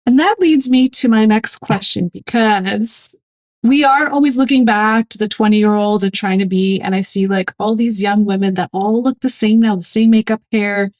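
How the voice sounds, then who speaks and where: A middle-aged woman hosts an interview and leads into her next question. She speaks with enthusiastic, rising emphasis at a medium pitch. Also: fairly even intonation, moderate pace, a little mellow, rough recording. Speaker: podcast host.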